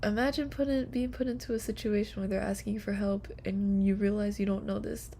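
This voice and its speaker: A young woman streamer chatting softly and casually to viewers, with a whispery, giggly, playful manner. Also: mid-range voice, steady tone, a little fast, slight background noise. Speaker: live streamer. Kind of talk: soft, casual sleep-stream chat with viewers.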